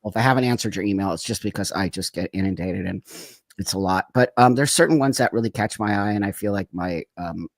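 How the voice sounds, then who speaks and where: A man's voice: on the low side, fast, no room sound. A man hosting a casual live stream, effusive and rambling, chatting over a video call. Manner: effusive, rambling, laughs often. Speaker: host.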